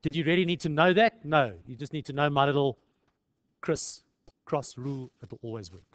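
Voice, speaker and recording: A man's voice: on the high side, normal speed, no room sound, dull audio. A South African man lecturing in an informal tutorial style, conversational and peppered with rhetorical questions. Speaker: accounting lecturer.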